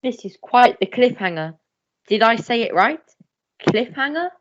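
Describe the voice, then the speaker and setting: A jolly, pompous major played by a woman in a radio melodrama. The voice is a fairly high one for such a figure, hearty and blustering, on a slightly dull recording. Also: lively intonation, unhurried, background noise. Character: jolly, blustering upper-class major, heroine's father. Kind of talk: melodramatic radio play acting.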